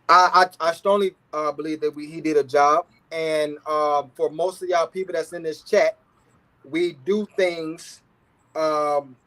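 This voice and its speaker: High-voiced man hosting a casual livestream. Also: fairly bright tone, poor audio. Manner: laid-back slang, repeats "you feel what I'm saying". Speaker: talk show host.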